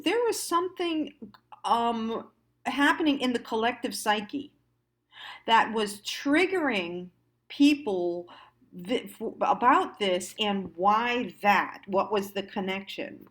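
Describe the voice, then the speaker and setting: An American woman giving a reflective monologue as a host, musing haltingly and stressing key words. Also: fairly high pitch, animated. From United States. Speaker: host of a personal storytime show.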